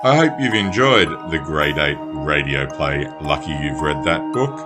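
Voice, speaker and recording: A man's voice: rumbling low, very animated, unhurried, over loud music. A man narrating a scripted children's fairy-tale radio play.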